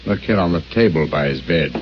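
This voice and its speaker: Brief: a composed, authoritative detective, very low and lively, on a dull old radio recording. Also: male voice, noisy background. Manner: measured, precise, authoritative. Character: brilliant, composed male detective. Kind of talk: 1940s radio acting. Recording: muffled old radio broadcast.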